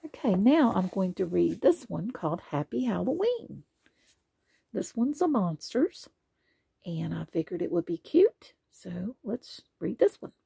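Expressive woman reading a bedtime story. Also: medium pitch, unhurried, vocal fry, slightly rough, dry recording. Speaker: storybook reader.

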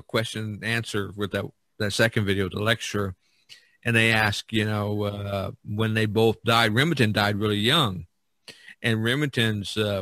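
A man's voice: lowish voice, sharp tone, no room sound. A man hosting a podcast in casual discussion, talking in a hesitant, meandering way. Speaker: podcast host.

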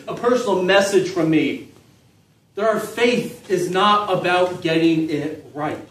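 A middle-aged man preaching a sermon in an open-air park pavilion, with measured delivery and phrases that trail off softly at the ends. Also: on the high side, recorded from a distance. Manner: measured preaching with falling, soft phrase endings. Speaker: pastor. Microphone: stand mic, filmed from a distance. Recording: echoey pavilion, voice from off-mic.

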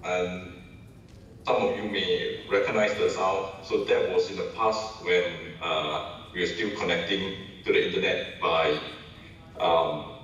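A man from Singapore giving a keynote lecture over a video call, talking casually with many ums and pauses. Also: low-pitched, steady tone, a bit bright, far from the mic, a little hiss or hum. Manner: casual, hesitant with many fillers. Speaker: keynote speaker, associate professor. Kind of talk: remote academic lecture. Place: large lecture hall. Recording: video call played over hall speakers, echoey and dull.

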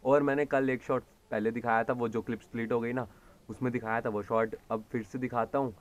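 A man's voice: mid-range voice, steady tone, fairly quick. A man, chatting casually and playfully to the camera as a vlogger, addressing viewers directly. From India. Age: 20s. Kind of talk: casual vlog chat to camera.

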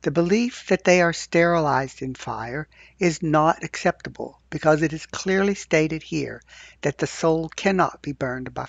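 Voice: mid-range voice, gravelly, dry recording. A reader with a mid-range voice leads a scripture class, reading aloud and chatting. The sound is very dry and slightly dull, as captured over online voice chat. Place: voice chat in an online virtual world.

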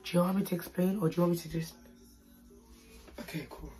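A young woman with a low, raspy voice chats to camera in a small bedroom. Her talk is playful and full of slang. Faint music plays behind her. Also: fairly even intonation, fast, dark tone. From United Kingdom. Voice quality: deep raspy voice. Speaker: haul vlogger. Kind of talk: casual chat to camera.

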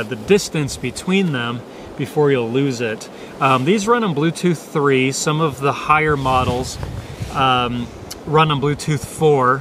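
Man's casual gear review, noisy outdoors. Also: mid-range voice. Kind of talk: casual, unscripted product review. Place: outdoors in dry grass by parked motorcycles. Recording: noisy outdoor camera recording.

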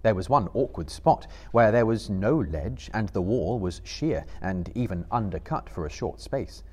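A man in his thirties giving a live dramatic reading of literary narration in a measured, theatrical manner. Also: lowish voice, expressive, dark tone, breathy edge. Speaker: storyteller, reader. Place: indoor small stage before live audience.

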